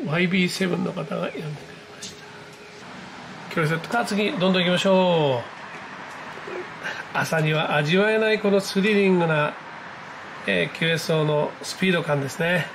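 A man giving casual, excited live commentary as a hobby vlogger, reacting aloud in exclamations as he goes. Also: sits high, a little fast, creaky, long pauses, background noise. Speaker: amateur radio operator hosting his own video. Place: indoors at a desk, filming a computer screen.

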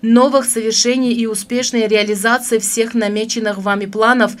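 A woman reading scripted news as an announcer, in a solemn, measured, official manner. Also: on the high side, fairly even intonation.

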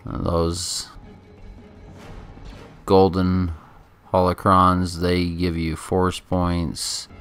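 A man giving casual, laid-back video game commentary in a halting, chatty way, close to the microphone. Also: low-pitched. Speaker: gameplay commentator. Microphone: microphone held very close. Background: game music.

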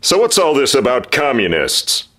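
A man's voice: medium pitch, wildly swooping pitch, moderate pace, a bit bright. An actor playing a blustering, impatient company chief in his fifties in a 1950s-style radio drama. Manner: blustering, boastful, brusque. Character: brash, impatient corporate boss in his fifties.

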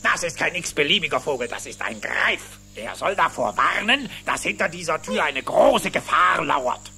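Excitable old cartoon duck, echoey. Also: male voice, a little high, normal speed, creaky, heavily acted. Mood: knowing, conspiratorial. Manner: theatrical, exuberant exclamations. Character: excitable old male cartoon duck, greedy treasure hunter uncle. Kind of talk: cartoon audio drama acting. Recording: echoey hall with loud music. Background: music.

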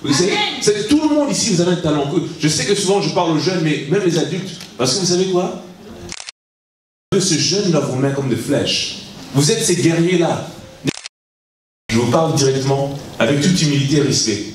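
A man in his thirties from Congo, preaching in halting French with many restarts, holding a handheld mic in a large church hall. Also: a little high, lively intonation. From Congo (Kinshasa). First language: English is his stronger language. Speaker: guest preacher. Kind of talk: sermon to young people. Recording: echoey hall, mic heard from a distance.

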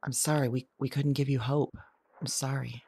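A woman's voice: extremely low pitch, level tone, moderate pace, vocal fry, dark tone, breathy edge, heavily acted. A woman playing an anxious, searching modern woman in an audio drama, with a hesitant, confessional delivery. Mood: hesitant, thoughtful. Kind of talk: audio drama monologue acting.

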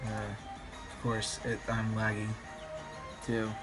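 A slightly raspy young man, a bit sick, commentating his online game battle in a rambling, hesitant way with many ums. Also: fairly low pitch, monotone, takes it slowly. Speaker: gaming YouTuber. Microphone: phone filming a screen. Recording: music playing behind.

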